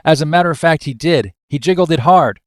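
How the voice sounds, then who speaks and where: A man acts a squeamish, aggrieved office worker in a comic scripted drama. He sounds fussy and melodramatic, fairly high in pitch, in a dry recording. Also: a little fast, no room sound, natural voice. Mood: disgusted, aggrieved. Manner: indignant, melodramatic.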